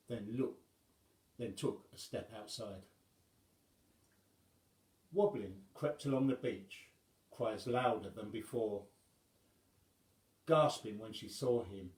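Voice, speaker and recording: A man reading a rhyming children's story aloud as a storyteller, in measured phrases with dramatic pauses. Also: fairly low pitch, darkish tone, reverberant.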